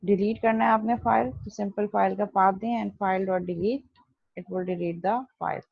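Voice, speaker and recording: A woman giving an instructional coding lesson in a mid-pitched, gravelly voice. She explains step by step, posing questions and answering them herself. The sound is dry and somewhat muffled. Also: fairly even intonation, a little slow, no room sound. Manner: didactic, step-by-step, poses and answers own questions. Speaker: programming teacher. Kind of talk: explanatory online coding lesson, Hindi-Urdu mixed with English terms.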